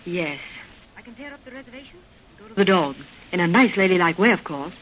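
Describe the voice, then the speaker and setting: A woman's voice: fairly low pitch, animated, quick pace, fairly bright tone. An actress playing a proud, scorned society woman in an old-time radio drama, melodramatic and imperious. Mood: cool, bitter. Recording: dull, noisy old radio recording.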